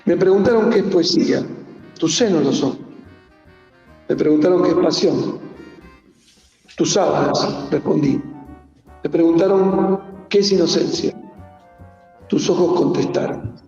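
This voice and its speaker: A man from Argentina recites poetry in a measured way, breaking it into short phrases. His voice is slow, mellow and creaky. It rings through a large, echoey hall. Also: on the high side, soft background music. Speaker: poet reading his own work. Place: on stage in a theatre. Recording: muffled, echoey theatre hall recording.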